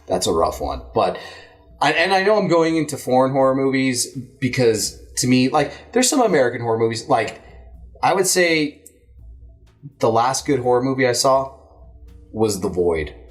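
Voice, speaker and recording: A man's voice: medium pitch, sing-song, moderate pace, soft background music. A man hosting a podcast, chatting casually and swearing freely in a rambling, informal way. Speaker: podcast host.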